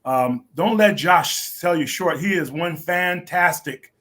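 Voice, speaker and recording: A middle-aged man giving interview answers as a stage actor, speaking earnestly and politely in halting phrases. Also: on the high side, fairly bright tone.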